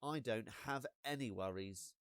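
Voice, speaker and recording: A man's voice: mid-range voice, a little slow, fairly bright tone, no echo, clean studio sound, lightly acted. A man voicing a busy, carefree boy who brushes off his sister impatiently in a children's storybook. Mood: dismissive. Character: busy dismissive brother, a carefree boy gamer.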